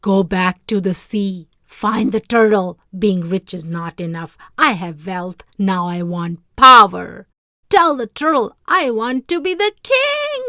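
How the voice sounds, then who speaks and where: A woman's voice: medium pitch, animated, slow, sharp tone, no echo, clean studio sound, full character voice. A woman voicing a greedy fisherman's wife in a storybook, scolding and giving imperious orders. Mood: demanding. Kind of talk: read-aloud storybook character voice.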